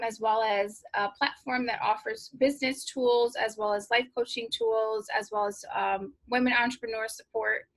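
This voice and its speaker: A woman's voice: fairly high pitch, bright, forceful tone. A woman hosting a podcast in a casual, conversational interview style. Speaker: podcast host.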